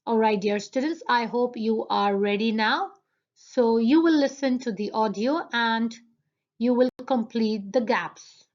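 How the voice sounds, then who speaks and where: A woman teacher slowly going over a listening exercise with her students. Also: fairly high pitch, darkish tone, muffled recording. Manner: slow, deliberate explaining to students. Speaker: English teacher. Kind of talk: classroom listening lesson.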